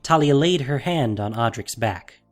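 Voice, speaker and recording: A man's voice: medium pitch, lively intonation, measured pace, soft background music. A man narrating a fantasy audio drama from a script, as its storyteller.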